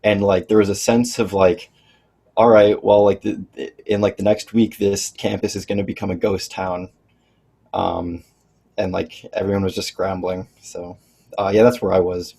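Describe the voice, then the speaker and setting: A man's voice: low-pitched, level tone, moderate pace, creaky, warm tone, husky. A young man from Illinois talking as a podcast guest, rambling and full of fillers and restarts. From northern Illinois. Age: young adult. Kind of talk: casual chat.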